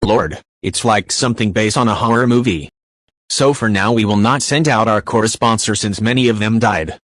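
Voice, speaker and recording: A man's voice: fairly low pitch, moderate pace, a bit bright, no room sound. A man playing a solemn TV news anchor, reading a scripted breaking news report. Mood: grave, reporting.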